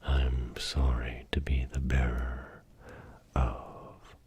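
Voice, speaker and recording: A man playing a grumpy, wise fortune teller in an ASMR role play, whispering in a slow, theatrical, formal way. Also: very deep voice, little pitch movement, darkish tone, husky, heavily acted. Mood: solemn, soothing. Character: grumpy wise male arcade fortune teller, Great Tingdinee. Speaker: ASMR performer.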